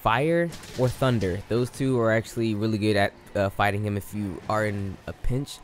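A man with a slightly low, hoarse voice, worn from losing it. He talks loosely and slangily, stumbling and correcting himself mid-sentence. There is a faint noise floor under the recording. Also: moderate pace. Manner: rambling, self-correcting, slangy. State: losing his voice. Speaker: gaming YouTuber. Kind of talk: casual game commentary.

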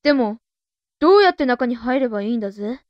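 A woman's voice: fairly high pitch, lively intonation, fast talker, no room sound, muffled recording, close to an everyday voice. A woman playing a naive, eager young witch girl, earnest and awkwardly half polite, half boyish, in a comic anime voice drama. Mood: unsure.

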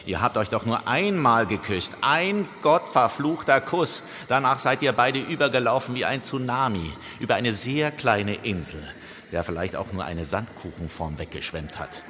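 An actor playing a grumpy, scornful devil in a radio play, sneering and coarse. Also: male voice, medium pitch, expressive, moderate pace, bright, forceful tone. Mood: scornful, annoyed. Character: grumpy, scornful devil mocking his lovesick companion. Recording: long reverb, background noise.

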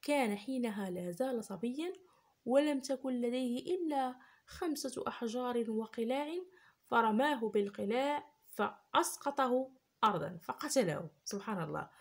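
A woman retelling a religious story for young children, gently explaining and repeating herself as she goes. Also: fairly high pitch. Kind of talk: unscripted storytelling for kids.

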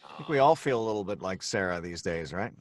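A man's voice: sits low, animated, quick pace. A middle-aged man chatting casually on a live stream through a studio microphone. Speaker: voice actor on a live show. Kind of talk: casual chat. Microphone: studio mic.

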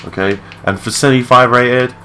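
A young man with a low voice giving rambling, matey, sweary gameplay commentary in a casual unscripted way. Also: fairly even intonation, moderate pace, sharp tone, soft background music. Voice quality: low-pitched voice. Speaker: gaming video creator. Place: his room at home. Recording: clearly noisy home mic recording.

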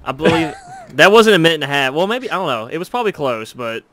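A man's voice: on the high side, very animated, fast talker, fairly bright tone, a little hiss or hum. A man in casual chat on a video call, teasing his friends with crude humour.

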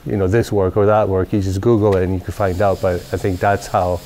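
Low-voiced man in his forties from Southern California, laid-back interviewee with a wandering delivery, recorded seated in a workshop. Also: fairly quick, a little hiss or hum. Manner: relaxed, rambling with many fillers. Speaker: company president. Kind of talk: casual interview. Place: large workshop garage, indoors.

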